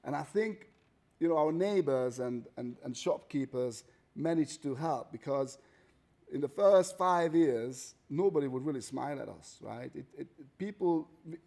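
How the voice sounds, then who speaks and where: A man's voice: a little high, expressive, measured pace, creaky, mellow. A man in his thirties from Hungary, speaking in a conversational way and often checking in with "right?" and "you know". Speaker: guest artist.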